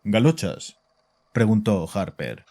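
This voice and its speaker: An actor narrating a horror audio drama and playing its composed psychiatrist, measured and grave in a deep, dark voice. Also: male voice, very animated, heavily acted. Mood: calm, probing. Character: composed, probing male psychiatrist who also narrates. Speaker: narrator and doctor.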